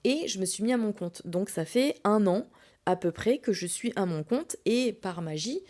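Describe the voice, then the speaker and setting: Casting: female podcast host, warm relaxed timbre, chatty and animated, self-mocking, recounts personal anecdotes in everyday slangy speech. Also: medium pitch, moderate pace. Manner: animated, colloquial, self-deprecating. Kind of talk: casual personal storytelling.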